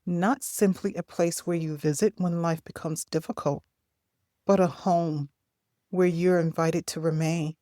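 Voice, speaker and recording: Very slow, low-voiced woman guiding meditation. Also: steady tone, no echo, clean studio sound. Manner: gentle, unhurried, deliberate phrasing with long pauses. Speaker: guided meditation narrator.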